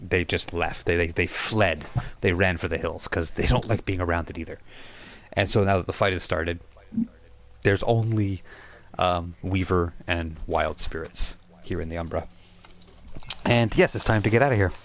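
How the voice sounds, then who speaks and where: A man with a low-pitched voice acts as game master for a tabletop game. He narrates casually and off the cuff, often halting to rephrase and explain details. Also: a little hiss or hum. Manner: halting, explanatory, self-correcting.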